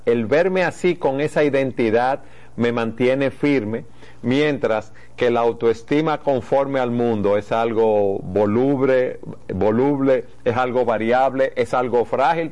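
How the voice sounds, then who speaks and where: A man's voice: mid-range voice, a little mellow. Man declaring his faith in plain statements. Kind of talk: conversational faith discussion.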